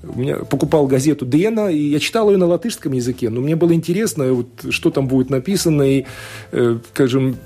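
Hesitant husky-voiced man guest. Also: a little high, fast, warm tone, soft background music. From Latvia. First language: Russian. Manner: thoughtful, hesitant, many fillers and restarts. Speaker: guest, law scholar and politician. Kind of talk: radio interview answers.

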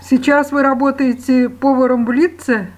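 A woman hosting a radio program, asking direct questions in a brisk manner. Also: high-pitched, little pitch movement, a little mellow. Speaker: radio host. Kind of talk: radio interview.

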